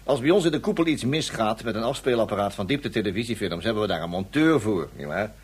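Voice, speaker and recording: An actor playing a dim, skeptical police inspector in a science fiction radio play, slightly husky, repeating himself and easily flustered. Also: male voice, fairly low pitch, animated, fast talker. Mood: weary, condescending. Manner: hesitant, stammering, repeating himself.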